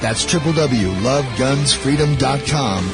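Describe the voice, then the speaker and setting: A man reading a scripted advertisement in a measured, promotional manner. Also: medium pitch. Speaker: ad announcer. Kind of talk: scripted ad read. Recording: voice over loud guitar music.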